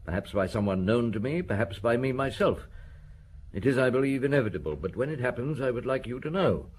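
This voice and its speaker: An actor playing a shrewd, wryly amused chief inspector in a radio drama, both talking and narrating. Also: male voice, medium pitch, expressive, brisk, a little mellow, slight background noise, close to an everyday voice. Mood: businesslike, measured. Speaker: actor in the lead role. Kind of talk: radio drama dialogue and first-person narration.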